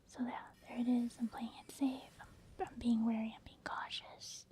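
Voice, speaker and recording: Female ASMR game commentator: high-pitched whisper, casual explanatory delivery. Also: flat delivery, normal speed, warm tone. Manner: casual, explanatory, thinking aloud. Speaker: gaming ASMR video creator.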